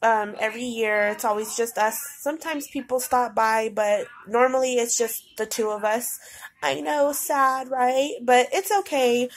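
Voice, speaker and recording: A woman's voice: on the high side, fairly even intonation, measured pace. Congested woman chatting through a haul. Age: adult with a daughter. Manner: chatty, rambling with frequent ums. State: congested from a cold, stuffy nose. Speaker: vlogger. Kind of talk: casual grocery haul vlog. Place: home, indoors. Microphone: phone camera pointed at groceries.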